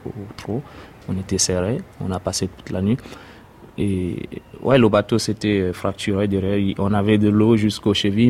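A young man from Sudan, native Arabic speaker, giving a hesitant personal testimony with many pauses. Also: lowish voice, warm tone. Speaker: interviewee, refugee.

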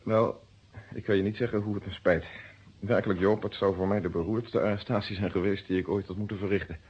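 An actor playing an earnest, thoughtful police inspector in a radio play, speaking formally on a muffled old recording. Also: male voice, fairly low pitch, steady tone, fairly quick, breathy edge. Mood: rueful. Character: earnest police inspector working a murder case.

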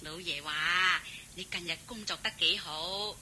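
A woman's voice: on the low side, unhurried, pushed and bright, low-quality recording. A woman with a Hong Kong Cantonese accent playing a bossy but kindly motherly maidservant in a radio drama, fussing and instructing. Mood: pleased, reminding. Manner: scolding, instructing, fussy. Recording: hissy old radio drama recording.

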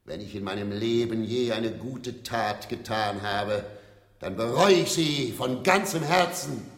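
An actor playing a sardonic, theatrical English stage player in a German radio play, declamatory and wary. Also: male voice, measured pace. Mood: villainous declaiming. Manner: declamatory, swooping from booming to hushed.

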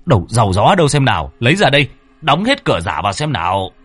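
A man's voice: medium pitch, very animated, quick pace, fairly bright tone, breathy edge, no room sound. A man voicing an old village man who gives urgent, stammering orders in an audio story. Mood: urgent, alarmed.

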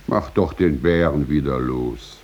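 A man reciting poetry in a solemn, dramatic style, measured, with drawn-out pauses and swelling emphasis. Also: very deep voice, a little mellow, breathy edge, muffled recording.

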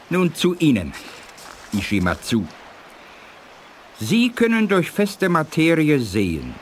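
Faint noise sits around a dignified alien scientist in an eighties radio play. His mid-pitched voice is calm and courteous, with formal, measured phrasing. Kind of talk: 1980s German radio drama acting.

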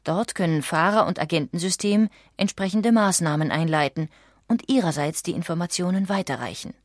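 A woman narrates in standard German with a fairly low voice. The read is scripted, factual and measured, like a documentary audiobook, with a very dry, close sound. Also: no echo.

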